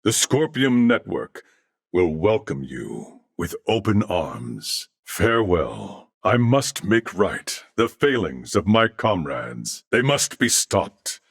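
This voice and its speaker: Cybernetic alien from a game, low gravelly voice, expressive swoops in pitch, formal and courteous, precise diction. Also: male voice, takes it slowly, dry recording, clean studio sound, exaggerated voice. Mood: solemn, composed. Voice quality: deep, gravelly. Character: calm, courteous cybernetic alien being of a networked collective. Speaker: video game character. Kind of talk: dramatic video game voice acting.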